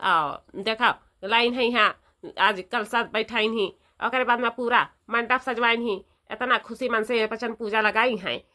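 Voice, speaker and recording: Cartoon village mother-in-law, woman performer: older, devout, chatty, fairly high bright voice, eastern UP accent. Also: steady tone, unhurried. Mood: proud, devout. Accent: eastern Uttar Pradesh. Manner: emphatic, repeats phrases, folksy lecturing. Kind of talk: comedy cartoon dialogue.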